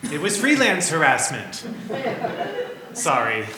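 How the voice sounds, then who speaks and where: A man's voice: a little high, wildly swooping pitch, a crowd in the background. A man singing and trading joking, apologetic lines in a live staged musical reading. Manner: joking, apologetic, quick asides. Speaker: stage actor. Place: theater stage with band. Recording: roomy live stage recording with loud band.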